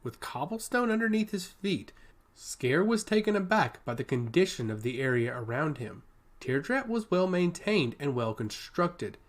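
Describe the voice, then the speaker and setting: Man narrating fantasy, lively swooping pitch. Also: normal speed. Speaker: audiobook narrator. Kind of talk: scripted fantasy story narration.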